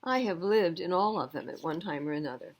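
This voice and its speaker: A woman's voice: fairly low pitch, animated, a little fast. A woman voicing a wry, thoughtful rescue dog in a storybook, with an emphatic, plain-spoken delivery. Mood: resigned, wry.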